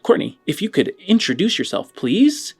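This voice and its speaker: A man's voice: sits high, wildly swooping pitch, moderate pace, breathy edge. A man hosting and narrating a tabletop roleplaying podcast in a casual style. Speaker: host and game master. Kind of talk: casual show introduction and tabletop game narration. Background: music.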